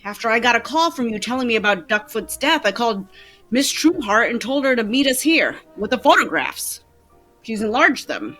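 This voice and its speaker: A woman playing a brisk, businesslike detective with clipped, decisive delivery in an old-time radio play. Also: on the high side, very animated, fairly bright tone, faint music behind. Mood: thoughtful, businesslike.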